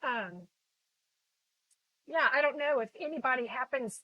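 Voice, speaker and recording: A woman's voice: high voice, lively intonation, measured pace, no echo, dull audio. A middle-aged woman with a Texan accent, chatting casually with viewers while she paints on a live stream recorded on her phone. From Texas. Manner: chatty, rambling, greets viewers by name. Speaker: art instructor live-streaming. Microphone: phone camera.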